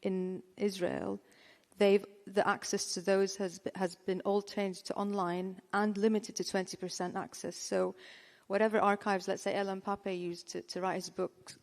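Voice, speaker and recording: A woman's voice: medium pitch, level tone, moderate pace. A woman moderating a panel discussion, hesitant and often restarting her phrases. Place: bookshop event room. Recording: long hall reverb.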